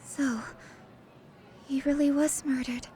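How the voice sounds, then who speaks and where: A woman's voice: high voice, little pitch movement, takes it slowly, dark tone, a little hiss or hum. An actress playing a reserved teenage schoolgirl, speaking in a subdued, hesitant way in a video game. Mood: shaken. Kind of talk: video game cutscene acting.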